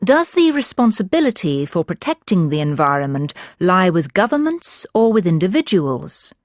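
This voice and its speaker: A woman with a fairly low voice reads speaking-test questions in a clear, formal way. The recording is dry and close, like studio course audio. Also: sing-song, normal speed, no echo. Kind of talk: scripted exam questions.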